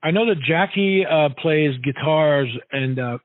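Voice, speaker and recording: A man hosting a casual radio interview, haltingly forming his questions and repeating words as he goes. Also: a little high, no room sound. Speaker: radio show host, interviewer. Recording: narrow, dull phone-like sound.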